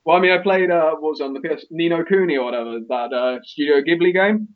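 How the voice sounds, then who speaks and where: A man's voice: a little high, quick pace, phone-quality sound. A man talking casually as co-host in a podcast discussion.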